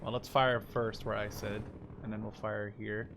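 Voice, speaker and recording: A man's voice: level tone, normal speed, creaky. Young man rambling over noisy game sounds. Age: 20s. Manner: rambling, informal, hedges with "like". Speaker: gaming video host. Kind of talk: casual let's-play commentary. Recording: noisy, game rumble behind voice. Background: war game sounds.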